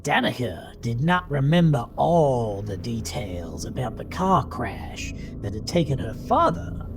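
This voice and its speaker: A man narrating a scripted horror story in a dramatic, deliberate, suspenseful manner. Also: medium pitch, very animated. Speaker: audiobook-style narrator. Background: music under the voice.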